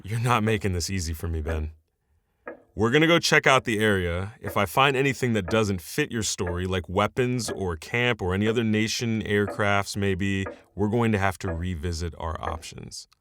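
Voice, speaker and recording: A guarded, commanding leader of survivors in an audio drama. The voice is on the low side, blunt and measured, like someone weighing a threat. A faint noise sits behind it. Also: male voice, animated, fairly bright tone. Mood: firm, guarded. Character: wary, commanding leader guarding a post-war bank community.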